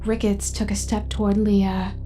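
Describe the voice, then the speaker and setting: A woman's voice: medium pitch, level tone, normal speed, mellow, soft background music. A woman narrating a scripted audio drama as its storyteller.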